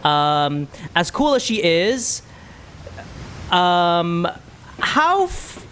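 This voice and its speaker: An excitable streamer commenting casually on a game for a live chat. The delivery is animated, jumping around in pitch, with words often repeated. There is faint noise behind the voice. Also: extremely slow. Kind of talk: casual livestream gaming commentary with reactions.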